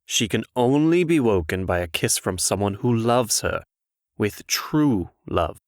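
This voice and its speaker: A man's voice: lowish voice, expressive, unhurried, no echo, studio quality. A man playing a jaded, worldly womanizing fairy-tale prince with a frank manner, in a comic dub. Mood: rueful, resigned.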